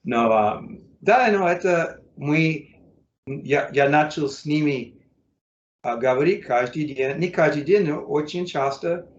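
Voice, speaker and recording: An American man, a native English speaker, speaking learner's Russian in a casual interview, halting with many fillers and self-corrections. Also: on the high side, roomy sound, muffled recording. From United States. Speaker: interview guest, English teacher.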